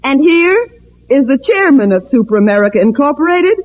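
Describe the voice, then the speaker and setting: A woman acting as an excited ceremonial announcer in a 1950s radio drama. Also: high voice, brisk. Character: excited female announcer introducing the chairman. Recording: muffled hissy old radio recording.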